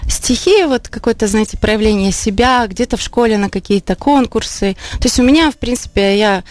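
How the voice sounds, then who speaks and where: A woman from Ukraine, talking as an interview guest in a halting, reflective, conversational way. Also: a little high, dry recording, soft background music. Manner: halting, reflective, frequent filler phrases. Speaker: interview guest, singer-songwriter. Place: radio studio.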